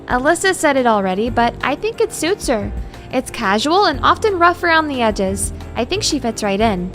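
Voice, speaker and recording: Woman acting a reserved, high-voiced bookish young woman. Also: very animated, fairly bright tone. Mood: reflective narration. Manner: polite, measured, mild. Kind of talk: audio drama acting with narration. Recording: music behind the voice.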